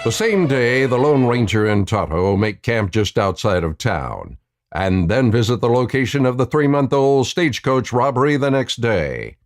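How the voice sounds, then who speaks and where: A man's voice: mid-range voice, sing-song, moderate pace, faint music behind. A man reading dramatic scripted narration for a western radio play.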